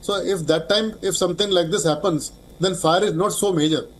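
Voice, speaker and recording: Male expert guest for news interview, Indian accent, fairly high register, halting and repetitive phrasing. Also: poor audio. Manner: hesitant, halting, with repeated words.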